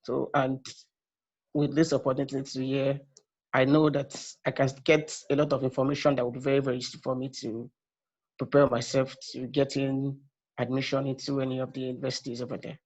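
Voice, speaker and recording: A man from Nigeria introducing himself haltingly as a prospective graduate student on a video call. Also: mid-range voice, level tone, a little mellow. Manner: hesitant, halting with many fillers. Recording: muffled video call audio.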